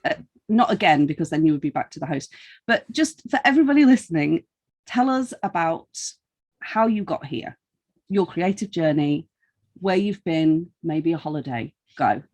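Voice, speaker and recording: A woman's voice: sits low, expressive, moderate pace, a little mellow. A woman interviewing casually on a podcast, with a playful, jokey manner.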